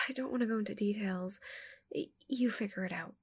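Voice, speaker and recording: A woman voicing a shy, curious giant female alien in a soft, breathy ASMR roleplay, with a hesitant, stammering manner. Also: medium pitch, normal speed. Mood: nervous, pleading.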